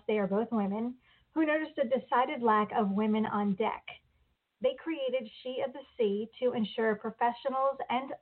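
A woman hosting a podcast interview, reading a measured scripted introduction and then asking questions. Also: a little high, narrow, tinny audio. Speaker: podcast host and editor.

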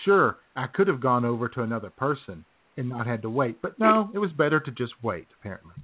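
A man co-hosting a chat show, telling a story in a relaxed way, often chuckling and clearing his throat. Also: mid-range voice, fast talker. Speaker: podcast co-host. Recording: phone-like lo-fi audio.

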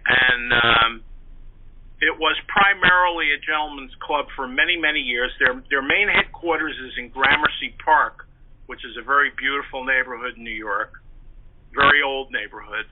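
An older man, an interview guest, reminiscing in an articulate, measured and wordy storytelling manner. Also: mid-range voice, a little hiss or hum. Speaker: interview guest, journalist and historian. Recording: thin, narrow telephone-like line.

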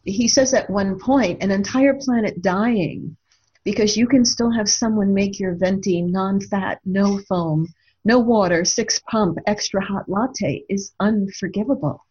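A woman's voice: mid-range voice, unhurried, a little mellow, husky, lo-fi sound. A woman speaking reflectively in a discussion, earnest and thoughtful, often posing rhetorical questions. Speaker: radio show co-host and author.

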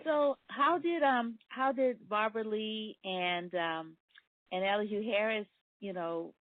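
Soft-spoken woman radio host, tinny. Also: mid-range voice, unhurried, no room sound. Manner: soft-spoken, hesitant questions with many fillers. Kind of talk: radio interview. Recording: tinny dry phone-like sound.

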